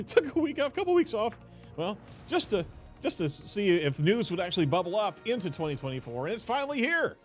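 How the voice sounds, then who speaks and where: A male podcast host with a fairly high voice that swings up and down a lot. He is upbeat, banters easily and laughs often. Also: sing-song, fairly quick, faint music behind. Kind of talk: casual news podcast chat.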